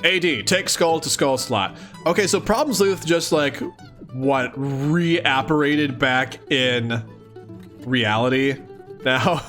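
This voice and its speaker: A man's voice: medium pitch, takes it slowly, faint music behind. A man hosting a let's-read, reading aloud expressively with casual, giggly commentary. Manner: giggly, expressive, dramatic reading mixed with chatty asides. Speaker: host of a live let's-read of a webcomic.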